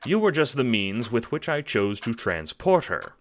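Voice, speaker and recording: Man as a smug, mild puppet master, pitched up. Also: very animated, normal speed. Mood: smug, polite. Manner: infuriatingly mild, condescending, formally polite. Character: Scratch, mild smug cue-ball-headed male puppet master.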